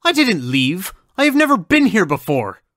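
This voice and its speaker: A man's voice: sits high, wildly swooping pitch, normal speed, a bit bright, dry recording, clean studio sound, heavily acted. A man playing a formal, precise male scientist, easily bewildered, in an audio drama. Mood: confused, agitated.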